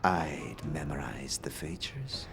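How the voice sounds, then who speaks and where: A man voicing a wild-eyed, eccentric, middle-aged inventor in a fantasy audio drama, speaking grandly and cryptically with theatrical pauses. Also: extremely low pitch, lively intonation, creaky, fairly bright tone, faint music behind, pitched below the performer's own voice, heavily acted. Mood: matter-of-fact.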